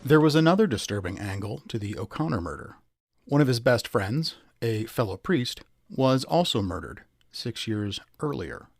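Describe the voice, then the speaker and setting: A man's voice: medium pitch, sing-song, normal speed. A man reading a scripted true-crime narration as a podcast narrator.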